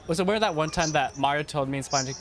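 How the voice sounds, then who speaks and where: A man's voice: on the high side, fast, over a noisy crowd. A man in his twenties arguing over game voice chat, repeating himself and stammering when pressed. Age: 20s. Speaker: video creator calling out another player. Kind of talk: heated voice chat argument.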